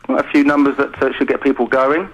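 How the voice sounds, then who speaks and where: British male drama director, rapid effusive interview talk, mid-range voice on slightly dull radio audio. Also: medium pitch, level tone. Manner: effusive, talkative. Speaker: pantomime director and drama teacher, interview guest.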